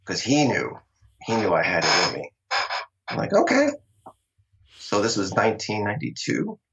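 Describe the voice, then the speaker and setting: A man's voice: lowish voice, huge pitch swings, normal speed, fairly bright tone. A middle-aged man from Long Island telling stories about his acting start in a casual interview, chatty and laughing often. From Long Island, New York. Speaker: interview guest, actor. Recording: dull, lo-fi sound.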